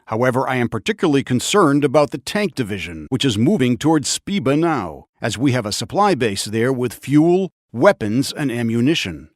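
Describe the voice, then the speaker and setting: Man acting an earnest army officer, very animated delivery. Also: medium pitch, moderate pace, few pauses, dry recording, studio quality, lightly acted. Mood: grave, businesslike. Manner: earnest, commanding, emphatic. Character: urgent, resolute Allied army officer briefing his squad. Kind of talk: military mission briefing in a war game.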